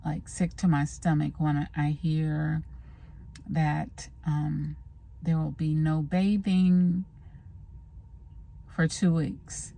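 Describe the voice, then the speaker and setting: A woman's voice: low voice, flat delivery, slow, gravelly, pauses often, warm tone. A woman vlogger chatting casually to the camera in a rambling, scattered way, recorded on a handheld phone.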